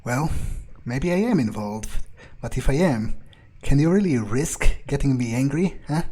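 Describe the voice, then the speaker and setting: A man's voice: mid-range voice, lively intonation, unhurried, warm tone, slightly rough. A man playing a video game in character as a vampire, musing aloud in a smug, menacing, drawling way.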